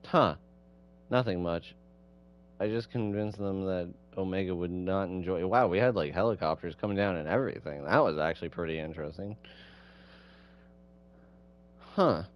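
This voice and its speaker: A man's voice: low voice, moderate pace, long pauses, dull audio. A man streaming a game with casual, musing commentary, often repeating himself. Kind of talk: casual livestream commentary, reading game dialogue aloud. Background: music.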